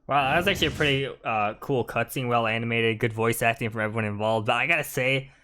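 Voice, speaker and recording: A man's voice: medium pitch, quick pace, pushed and bright, a little hiss or hum. A man giving casual, laid-back commentary as the host of a gaming video.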